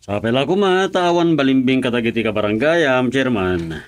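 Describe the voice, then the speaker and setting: A scheming town mayor from a radio comedy drama, played by a man. His voice is medium in pitch, and he comes across as pushy and calculating. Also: animated, normal speed, lightly acted. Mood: pushy, needling.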